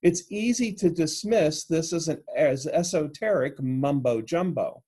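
A man's voice: measured pace, breathy edge. A middle-aged man preaching a homily from a room at home, explaining earnestly into a webcam. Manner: earnest, explanatory, lively pitch swings. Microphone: webcam at desk.

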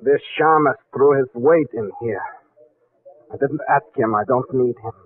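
An actor playing a curt, touchy racketeer in a 1940s radio crime drama, making terse, clipped demands. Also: male voice, mid-range voice, a little slow, natural voice. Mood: irritated. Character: curt, touchy male racketeer bail bondsman. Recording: narrow, dry 1940s radio recording.

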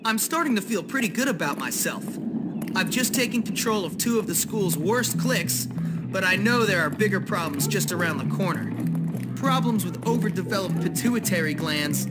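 Cocky, high-pitched teenage tough guy. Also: lively intonation, normal speed, over loud music. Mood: smug. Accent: American. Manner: brash, sarcastic. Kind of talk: video game cutscene acting.

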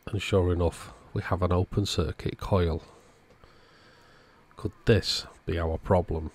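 A man, host of an electronics repair video, calmly thinking aloud as he methodically works on a radio at his workbench. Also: low voice, a little slow, breathy edge. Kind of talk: casual narrated repair walkthrough.

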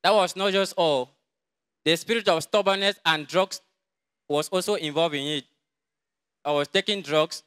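A young man with a Cameroonian English accent gives a quiet, halting testimony in a large crowded church, speaking into a handheld mic someone else holds. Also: a little high, clear, resonant tone. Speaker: church member giving testimony. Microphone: handheld mic held by another person.

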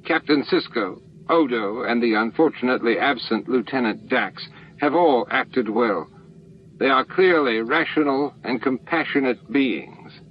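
A man's voice: mid-range voice, a little slow, close to an everyday voice. A man voicing an old, supportive alien hive master in an audiobook, speaking formally. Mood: approving. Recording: dull, noisy lo-fi book-on-tape recording.

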